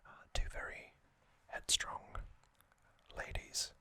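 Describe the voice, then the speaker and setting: A man whispering an unhurried ASMR recap, with soft pauses and frequent ums. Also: dry recording. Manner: unvoiced whisper, slow and relaxed with frequent ums. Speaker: ASMR channel host.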